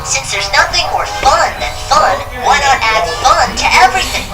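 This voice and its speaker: An actor voicing an upbeat, inventive young boy in a cartoon story, excitedly declaring ideas. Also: high voice, normal speed, reverberant, distant mic. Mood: enthusiastic. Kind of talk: cartoon acting. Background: music.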